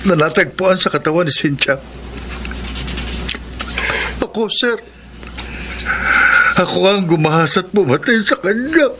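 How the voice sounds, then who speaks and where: A man's voice: sits high, animated, unhurried, long pauses, background noise. An actor playing a young male driver who stammers nervously while confessing to a crime in a radio drama. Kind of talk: 1990s radio drama acting.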